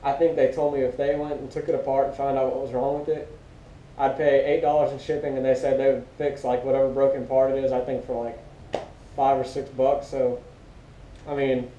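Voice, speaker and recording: A man's voice: flat delivery, brisk, dark tone. A young American man chatting casually as co-host of a gear review, recorded in a living room on a camera a few metres away. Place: living room at home, indoors. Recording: dull muffled roomy audio, rumbly background noise.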